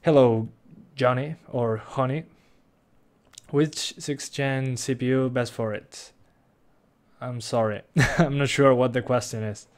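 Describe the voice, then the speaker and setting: A man's voice: mid-range voice, slow, long pauses. A young man from Uruguay with a Rioplatense Spanish accent, a native Spanish speaker casually reading out and answering chat questions on a live stream with frequent chuckles. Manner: relaxed, reads questions aloud, chuckles often.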